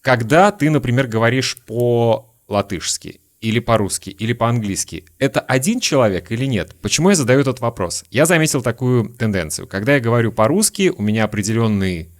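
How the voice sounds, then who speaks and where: A man from Lithuania, a native Lithuanian speaker, hosting a relaxed interview podcast and asking long, searching questions. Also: mid-range voice, fairly quick, hardly any pauses. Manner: asks long, searching questions and shares his own stories. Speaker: podcast host.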